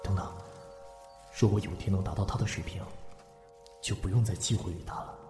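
A male actor plays a guilty man with a secret in a suspense radio drama. His voice is low, uneasy and guarded, and music plays clearly behind it. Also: steady tone, fairly quick, dark tone, natural voice. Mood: scheming, wary. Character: guilt-ridden, secretive, anxious man hiding a crime.

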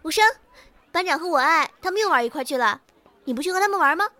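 A woman's voice: very high voice, expressive, quick pace, bright, forceful tone, dry recording, heavily acted. A woman playing a chirpy, friendly schoolgirl classmate in an audio drama, with a coaxing, playful manner. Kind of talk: Chinese audio drama acting.

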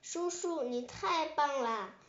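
A woman's voice: extremely high pitch, unhurried, reverberant, muffled recording, voice pushed up. A woman voicing a timid, sweet baby dinosaur in a storybook, whiny and pleading with a stammer. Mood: happy admiring.

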